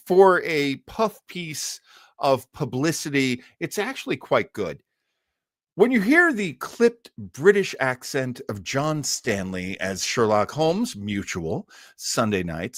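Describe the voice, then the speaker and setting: Man with lively, swooping delivery, close dry sound. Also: mid-range voice, dry recording. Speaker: live stream host. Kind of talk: casual live commentary on old-time radio, reading an article aloud.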